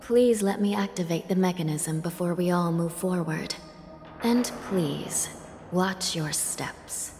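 A woman voicing a stern young female judge of the underworld in a video game, speaking formally and in measured tones, sometimes sinking into a soft, breathy near-whisper. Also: lowish voice, a little hiss or hum. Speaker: game character. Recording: studio voice over music in long reverb.

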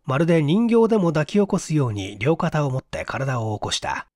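Male audiobook reader for detective fiction. Theatrical, fairly high voice, lively intonation. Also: fairly quick, dry recording, studio quality. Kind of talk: dramatic scripted narration of a mystery story.

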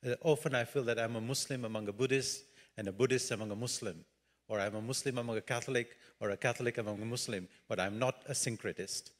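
Man, fast pace, mid pitch, direct, audience question, hall echo. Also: fairly even intonation. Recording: long hall reverb.

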